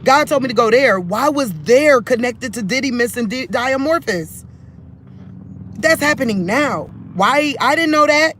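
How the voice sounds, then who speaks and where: A man with a fairly high voice speaks straight into his phone for a religious vlog. He rambles in a loose, conversational way, often breaking off and restarting his sentences. Phone mic. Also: lively intonation, measured pace, bright, forceful tone, slight background noise.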